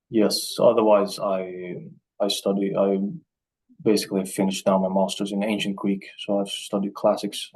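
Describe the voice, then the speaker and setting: A young man speaks in a low, husky voice with very little rise and fall. He introduces himself slowly over a video call, stopping often for fillers. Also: darkish tone, low-quality recording. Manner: slow, hesitant, many fillers. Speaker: astrology student. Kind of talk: casual self-introduction.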